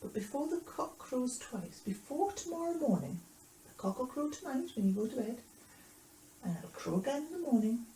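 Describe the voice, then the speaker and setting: A woman voicing a calm, knowing male religious teacher with a measured, certain manner, in a Bible story. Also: sits high, reverberant, a little hiss or hum, pitched above the performer's own voice, poor audio. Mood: calm warning.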